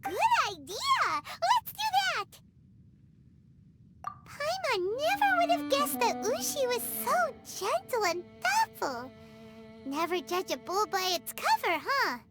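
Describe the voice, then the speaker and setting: Tiny fairy sidekick, very high squeaky voice, wildly animated, excitable, video game, music behind. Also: female voice, takes it slowly, heavily acted. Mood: eager, cheerful. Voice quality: squeaky, piping. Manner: speaks of self in third person. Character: small childlike floating fairy companion, excitable and chirpy. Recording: game dialogue under loud background music.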